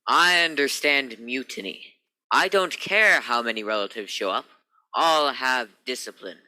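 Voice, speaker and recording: A man's voice: medium pitch, lively intonation, a little slow, sharp tone, low-quality recording. A man playing a commanding middle-aged Navy rocket captain with strong feeling in a radio play. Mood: stern.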